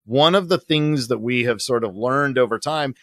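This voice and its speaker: A man's voice: medium pitch, normal speed, a bit bright, dry recording. Man methodically explaining in an interview, dry sound. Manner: methodical, enumerating points, checking with "right?". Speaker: guest sales consultant.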